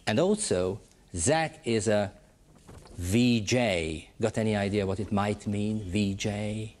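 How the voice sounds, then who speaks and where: A man's voice: on the low side, a little mellow, a little hiss or hum. Upbeat male show host. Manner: upbeat, encouraging, quick to praise. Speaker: host of a language-learning TV show. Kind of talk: teacher-style hosting. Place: TV studio with students.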